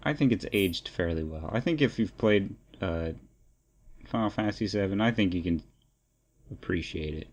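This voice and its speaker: Low-voiced man chatting casually as podcast co-host. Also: lively intonation, moderate pace, a little mellow. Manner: rambling, repeats phrases while thinking aloud. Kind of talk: casual opinionated discussion.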